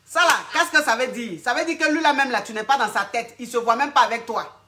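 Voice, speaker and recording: High, bright-voiced woman coach. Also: fast, slight background noise. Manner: blunt, motherly, calls listeners "my darling". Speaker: relationship coach answering callers. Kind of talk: live call-in advice.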